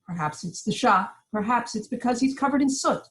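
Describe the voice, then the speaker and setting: A woman's voice: on the high side, lively intonation, fairly quick. A woman reading stage directions as the narrator in scripted narration.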